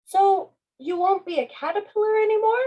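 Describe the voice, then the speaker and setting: A woman's voice: extremely high pitch, normal speed, highs cut off, voice pushed up. A woman voicing an anxious, hesitant little fluffy cloud in a children's storybook. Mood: puzzled, curious. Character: anxious, upset little fluffy cloud who fears change.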